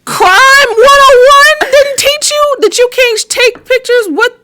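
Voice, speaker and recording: A woman co-hosting a casual interview, who fires off quick, prodding questions and reacts with disbelief. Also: very high-pitched, bright, forceful tone. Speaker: podcast co-host.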